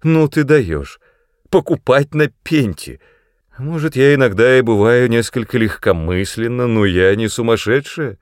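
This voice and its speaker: A man's voice: medium pitch, lively intonation, unhurried, no room sound, clean studio sound, pitched above the performer's own voice, close to an everyday voice. A man voicing a playful, self-assured woman adventurer in an audiobook, teasing and assertive. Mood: amused, breezy.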